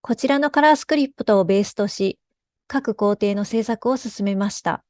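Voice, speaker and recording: A woman's voice: medium pitch, moderate pace, darkish tone, no room sound. A woman giving a scripted conference presentation as a lead artist.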